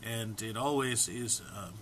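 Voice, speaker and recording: A man's voice: lowish voice, measured pace, fairly bright tone, a little hiss or hum. A man informally introducing and reading comic text aloud in a mock-solemn manner, struggling to keep from laughing. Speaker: amateur reader recording for an online post.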